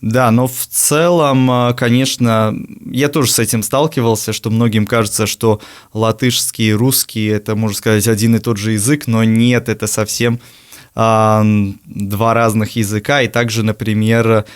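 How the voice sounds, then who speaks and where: Low-pitched young man from Riga. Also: level tone, smooth. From Riga, Latvia. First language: Latvian. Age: 20s. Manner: thoughtful, hesitant, with frequent filler pauses. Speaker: guest, university lecturer. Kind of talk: casual interview answers.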